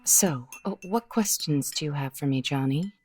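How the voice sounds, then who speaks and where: An actress playing a guarded, weary 1950s widow in a radio mystery, with a hesitant, melodramatic delivery. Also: female voice, sits low, moderate pace, a little mellow, faint music behind, natural voice. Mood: softened, coy. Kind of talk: 1950s radio drama acting.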